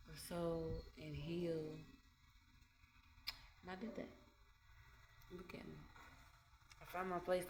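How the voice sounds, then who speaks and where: Low-voiced woman musing in an echoey room. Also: slight background noise. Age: 20s. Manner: meandering, repeats phrases, laughs between thoughts. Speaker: vlogger. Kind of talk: reflective casual monologue. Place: home. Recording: echoey room with faint ambience.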